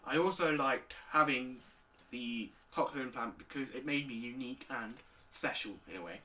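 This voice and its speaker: Young guy of eighteen, clear bright tone, a bit off-mic. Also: medium pitch, moderate pace, distant mic, slight background noise. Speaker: interviewee. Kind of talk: recorded video interview answers.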